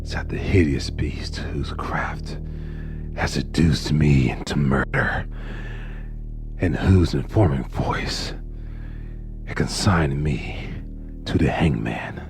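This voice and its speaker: A man's voice: a bass voice, takes it slowly, vocal fry, pauses often, faint music behind, exaggerated voice. An actor playing a deranged, smug murderer who confesses his crime in formal, theatrical horror narration. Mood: grim, haunted. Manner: theatrical, formal, brooding, drops into hushed whispers. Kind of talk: dramatic horror narration of a classic gothic tale.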